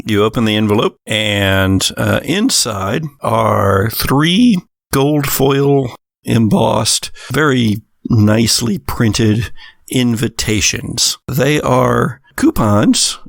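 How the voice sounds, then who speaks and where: A man working as game master, improvising narration for a tabletop horror game in halting, deliberate phrases. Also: medium pitch, lively intonation, gravelly, no room sound, clean studio sound.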